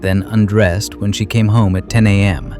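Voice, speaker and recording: An actor playing an AI house manager in a radio drama, coolly literal and slyly meddling, with formal, pedantic speech. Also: male voice, fairly low pitch, level tone, normal speed, music in the background, close to an everyday voice. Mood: matter-of-fact. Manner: formal, pedantic, matter-of-fact.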